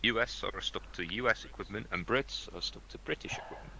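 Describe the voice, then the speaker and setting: A man's voice: lowish voice, a little fast, gravelly, pushed and bright, slight background noise, low-quality recording. A young man chatting casually with friends over gaming voice chat and quizzing them.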